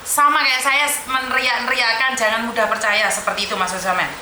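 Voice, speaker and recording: A woman hosting a live-streamed call-in chat, animated and encouraging, slipping into Javanese terms of address. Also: fairly high pitch, brisk, echoey room, noisy background. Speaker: host of a livestream.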